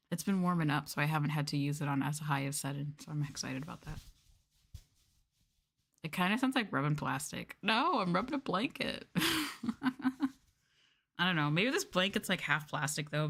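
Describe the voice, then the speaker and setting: Girl streamer doing chill asmr chat, lowish voice, giggly and kinda unsure, up close on mic. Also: creaky, a bit bright. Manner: playful, giggly, hesitant. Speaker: VTuber streamer. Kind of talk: casual ASMR livestream chat.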